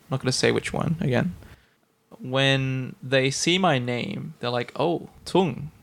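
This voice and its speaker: A man's voice: medium pitch, measured pace, gravelly, fairly bright tone. A young Australian man co-hosting a podcast, laid-back and casual, telling stories with a laugh. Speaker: podcast co-host. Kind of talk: casual chat.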